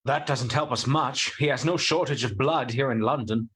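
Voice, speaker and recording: A man's voice: medium pitch, moderate pace, a bit bright, lightly acted. A man playing an earnest, matter-of-fact solicitor in a radio play. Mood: doubtful.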